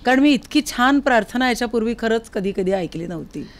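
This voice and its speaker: A woman's voice: fairly high pitch, animated, brisk. A woman hosting a podcast, speaking conversationally in an encouraging way as she interviews guests in a studio. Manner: encouraging, interjects short acknowledgements. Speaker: podcast host. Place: recording studio.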